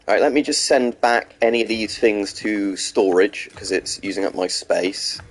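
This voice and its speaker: A man's voice: on the low side, normal speed. A man streaming a video game, casually chatting with viewers and hesitating often, with a slight sore throat and a blocked nose. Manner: rambling, answers chat questions between gameplay remarks; hesitant, many ums and restarts. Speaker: gaming streamer. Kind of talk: casual live-stream commentary while playing.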